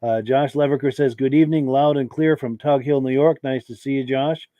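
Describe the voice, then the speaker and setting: A man hosting a live podcast who has a cold and sounds hoarse, reading out viewer comments with short, easygoing reactions. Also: mid-range voice, a little fast, no room sound, lo-fi sound. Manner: relaxed, reads comments aloud with brief reactions. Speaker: podcast host.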